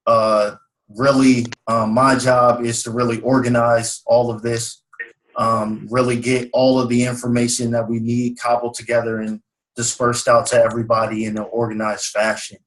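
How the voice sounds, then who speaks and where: A man's voice: medium pitch, level tone, normal speed, fairly bright tone, poor audio. A young man leading a video call meeting as team leader, casual and filler-heavy. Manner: casual, many fillers and agreeing murmurs.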